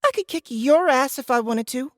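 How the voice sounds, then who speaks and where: A woman's voice: sits high, lively intonation, normal speed, dry recording, clean studio sound. A woman voicing a small, stubborn, defiant child in an audiobook, with a blunt childlike delivery. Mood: indignant, defiant. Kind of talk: audiobook character dialogue.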